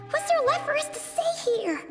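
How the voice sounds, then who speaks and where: Super high squeaky lil fairy sidekick, cheeky, bouncy up and down, game character. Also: female voice, heavily acted. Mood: flustered, dismayed. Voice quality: squeaky, childlike. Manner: exaggerated, expressive, third-person self-reference. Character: tiny floating fairy companion girl, childlike, cheeky, chatty. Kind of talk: video game character dubbing.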